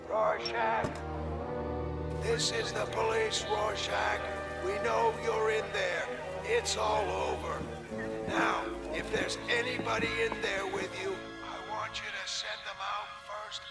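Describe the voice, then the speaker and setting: A man voicing a confident, commanding policeman who calls out loudly and authoritatively for a surrender. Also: sits high, steady tone, slow pace, gravelly, exaggerated voice. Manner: loud, authoritative, projected. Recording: loud music underneath.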